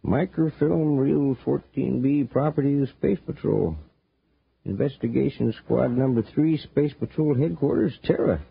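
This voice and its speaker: An actor playing a folksy, naive, good-natured settler with an eager, hesitant manner in an old space radio drama. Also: male voice, mid-range voice, normal speed, creaky, slightly rough, heavily acted. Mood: puzzled, excited. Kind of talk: 1950s radio drama acting. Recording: muffled old radio recording, faint noise.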